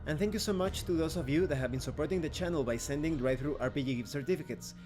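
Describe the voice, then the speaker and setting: A man with a slightly high-pitched voice hosts an explanatory review. He speaks in an orderly, instructional way, stepping through the material point by point. Soft music plays underneath his narration. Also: fast talker. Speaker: game reviewer, channel host. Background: background music.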